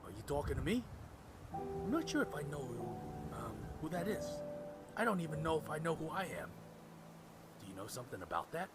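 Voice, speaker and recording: A man's voice: on the high side, very animated, a little fast, pauses often, over loud music. An actor playing a crime boss's earnest, conflicted henchman in an audio drama, speaking expressively. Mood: confused, uneasy.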